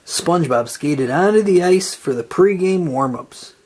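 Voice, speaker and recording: A narrator reading a children's storybook aloud in an animated style. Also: mid-range voice, measured pace, husky.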